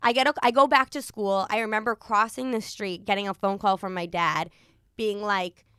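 A young American woman telling a personal story in a casual, animated, rambling way, acting out bits of dialogue. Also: medium pitch, moderate pace, smooth, dry recording, clean studio sound. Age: young adult. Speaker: podcast host. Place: podcast studio.

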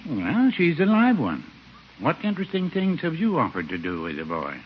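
An actor playing a mild, gently contrary old small-town man in a 1950s radio comedy, dry, folksy and hesitant. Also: a little high, huge pitch swings, moderate pace, breathy edge, heavily acted. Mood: mildly teasing. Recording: dull, noisy 1950s radio recording.

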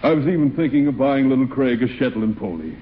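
An actor playing a downcast, fond American father in a 1940s radio comedy, speaking in a measured, wistful way. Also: mid-range voice, lively intonation, natural voice. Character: downcast, fond father grieving over lost Christmas plans. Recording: muffled 1940s radio, slight noise, audience.

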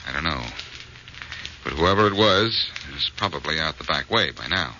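Wry, self-assured American sleuth, deep and lively, clipped lines, noisy vintage radio sound. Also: male voice, moderate pace, exaggerated voice. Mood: grim, brisk. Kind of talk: 1940s radio mystery acting. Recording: muffled, noisy old-time radio recording.

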